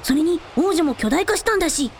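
A woman's voice: very high-pitched, expressive, fast talker, slight background noise. A woman playing a young, earnest dragon prince in a radio drama, speaking in archaic lordly phrases with childlike openness. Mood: concerned, tender. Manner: old-fashioned lordly speech with childlike openness. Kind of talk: Japanese radio drama acting.

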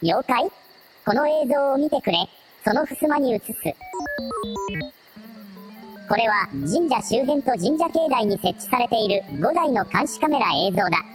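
ラジオドラマで、簡潔な報告口調で話す忠実で分析的な機械の相棒を演じる女性。. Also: female voice, a little high, lively intonation, normal speed, music in the background, natural voice. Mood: matter-of-fact. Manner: terse formal report-like phrasing. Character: loyal analytical machine assistant, precise and matter-of-fact. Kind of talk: amateur anime-like radio drama acting.

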